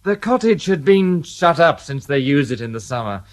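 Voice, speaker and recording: A dry, wry police inspector. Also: male voice, a little high, very animated, moderate pace. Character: weary, dry-witted male police detective inspector. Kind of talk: radio drama acting.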